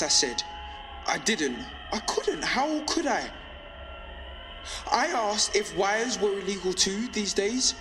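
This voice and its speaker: A man playing a young pirate-radio rebel, fearful but defiant, in a measured, emphatic dramatic monologue. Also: sits high. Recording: long reverb, music underneath.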